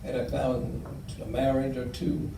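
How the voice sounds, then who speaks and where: Older man telling stories, gravelly and distant. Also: medium pitch, flat delivery, measured pace. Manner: warm, rambling storyteller with frequent fillers. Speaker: guest speaker. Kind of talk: public talk with audience questions. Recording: echoey room, distant mic, faint noise.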